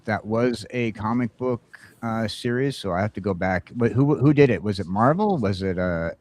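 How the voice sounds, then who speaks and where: Here is a man hosting a laid-back podcast in a slightly low voice. He sounds eager and chatty as he keeps the talk going between guests. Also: expressive, moderate pace, a little mellow. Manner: eager and chatty, reads out viewer comments. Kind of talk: casual podcast chat.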